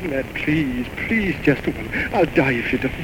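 An actor playing a troubled, evasive alcoholic man in an old-time radio drama, speaking in halting, repetitive phrases. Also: medium pitch, lively intonation, lightly acted. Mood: desperate pleading. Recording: noisy old radio recording.

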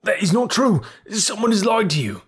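A man's voice: medium pitch, measured pace, creaky, fairly bright tone, slightly rough, full character voice. A man voicing a frightened male ex-servant accused of murder, who stammers and protests.

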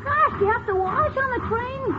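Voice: extremely high pitch, moderate pace, very noisy. An actor playing a cheeky, eager young nephew in classic radio comedy, blurting out wisecracks and questions. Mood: curious, pestering. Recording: narrow-band vintage radio recording.

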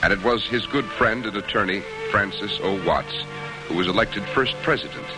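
A man's voice: lowish voice, normal speed, low-quality recording. An American man reading scripted narration as a mid-century radio announcer. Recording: old radio broadcast under loud music. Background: loud music.

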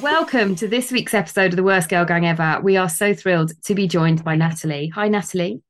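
A woman hosting a podcast, chatting in an animated, candid way and swearing casually. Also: on the low side, brisk, soft background music. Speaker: podcast host. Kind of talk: casual conversation.

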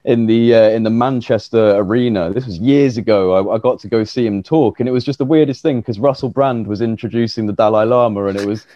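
A man in a casual chat, talking in a relaxed, chatty way. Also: fairly low pitch, fairly quick, few pauses, dry recording, highs cut off.

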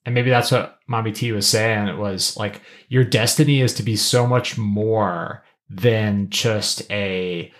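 A man's voice: lowish voice, fairly even intonation, unhurried, hardly any pauses. A man co-hosting a podcast, talking through his analysis casually in a thoughtful, explanatory manner. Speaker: podcast co-host.

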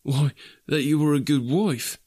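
A man's voice: on the high side, a little slow, dark tone, breathy edge, no room sound, clean studio sound, pitched above the performer's own voice. A man voicing a kindly, grieving father in an audiobook story, earnest and emotional. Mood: grateful, warm. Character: Bob Cratchit, tender, grieving, kindly working-class father.

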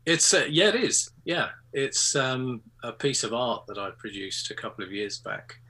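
Husky bright older English photographer. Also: male voice, mid-range voice, very animated, moderate pace. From England. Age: 60s. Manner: hesitant, many ums and restarts. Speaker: photographer and artist guest. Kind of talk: casual interview chat.

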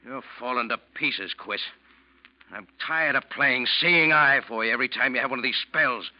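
An actor playing a gruff, scornful, scheming ship's first mate in a classic radio drama, with a blunt, bullying, sarcastic delivery. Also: male voice, medium pitch, moderate pace, close to an everyday voice. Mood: angry, scornful. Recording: muffled vintage radio recording.

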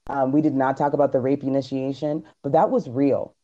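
A man co-hosting a loose, casual podcast talk, pressing his points with emphatic, preachy energy. His voice is mellow and warm. The recording sounds a little dull and lo-fi. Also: medium pitch, level tone, fairly quick. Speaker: podcast co-host. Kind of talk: casual group chat on a live stream.

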